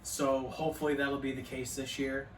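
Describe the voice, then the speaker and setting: A man's voice: medium pitch, level tone, moderate pace. A man in his early forties co-hosting a casual chat show, with laid-back, profane banter. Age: 40s. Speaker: podcast co-host. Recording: echoey room, mic at a distance.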